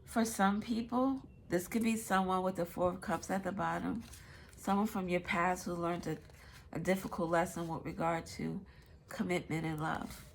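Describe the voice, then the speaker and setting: A woman's voice: on the low side, steady tone, normal speed, creaky, slight background noise. A woman with a stuffy nose giving a casual, rambling tarot reading, swearing now and then. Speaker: tarot reader.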